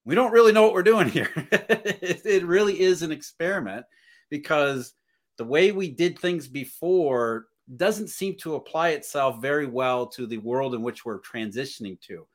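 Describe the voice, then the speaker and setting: A man's voice: a little high, normal speed. A man speaking as a podcast guest, earnest and thinking aloud with restarts. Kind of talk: casual podcast conversation.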